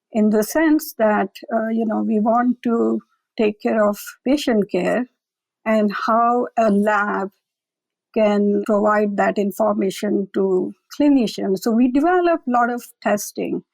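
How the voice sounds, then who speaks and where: A woman, a lab director and guest on an interview podcast, explaining her scientific work in a measured, conversational way. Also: medium pitch, gravelly, darkish tone, no room sound, low-quality recording. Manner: measured, explanatory, occasional fillers.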